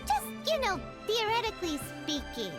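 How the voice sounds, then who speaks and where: A woman voicing a tiny, chirpy, childlike companion in a video game, bubbly, excitable and naive. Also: extremely high pitch, moderate pace, over loud music, full character voice. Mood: coy, awkward. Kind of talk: video game character dialogue.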